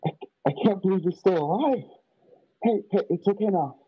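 Man playing a stammering high-voiced young frontier lad. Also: lively intonation, moderate pace, breathy edge, no echo. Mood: urgent, soothing. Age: young adult. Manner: stammering, hesitant. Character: earnest, frightened young frontier man, gentle rescuer. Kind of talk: radio western acting. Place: home room on a video call. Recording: dull video-call audio.

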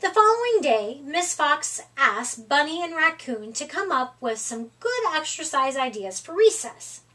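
A middle-aged woman reading a children's picture book aloud in an expressive, deliberate storytelling manner. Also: high voice. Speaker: storytime reader. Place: small room at home, indoors. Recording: slightly distant microphone.

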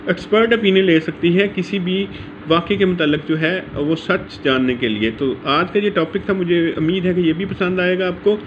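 Higher-pitched Pakistani man lecturing. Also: dull audio, a little hiss or hum. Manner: mixes English legal terms into speech. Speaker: law lecturer. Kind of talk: informal lecture explaining from handwritten notes.

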